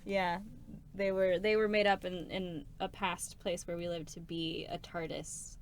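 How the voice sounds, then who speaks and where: A woman's voice: lowish voice, little pitch movement, a little slow. A woman chatting informally on a livestream in a small room, effusive and affectionate with short interjections. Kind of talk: casual chat, short interjections. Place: small crowded home recording room.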